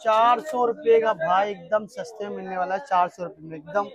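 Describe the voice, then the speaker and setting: A man's voice: high voice, fairly quick. A man with a Mumbai Hindi accent doing casual market-vlog commentary, chatty and repetitive, addressing viewers informally. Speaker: vlogger touring a wholesale fruit market. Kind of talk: casual vlog commentary, asking vendors prices. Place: large covered wholesale fruit market. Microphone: handheld phone.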